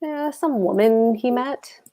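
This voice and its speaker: A woman's voice: a little high, slow, warm tone. A woman playing a brusque, stubborn husband and landlord in a comic play table read, speaking in blunt, clipped phrases. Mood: defensive, blunt.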